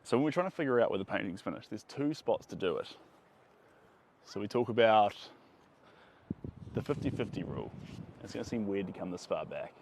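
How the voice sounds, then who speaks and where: A man's voice: fairly low pitch, expressive, fairly quick, long pauses, a little mellow. A young man teaching painting on a live stream, enthusiastic and chatty, his voice a bit strained by the wind, recorded on a phone outdoors on a beach. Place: sandy beach outdoors on a sunny day. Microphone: phone held at arm's length. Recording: phone audio with clear wind and outdoor noise.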